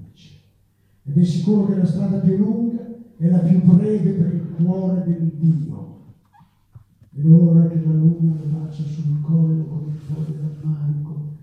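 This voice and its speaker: A man reciting poetry as a stage performer, with deliberate, theatrical phrasing. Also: a little high. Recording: distant, echoing, phone-like band-limited sound.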